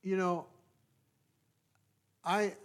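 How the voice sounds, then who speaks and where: Higher-voiced Californian man preaching informally. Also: little pitch movement. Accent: Californian American. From California, United States. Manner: folksy, self-deprecating, halting with repeated checks to listeners. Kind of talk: informal, conversational sermon.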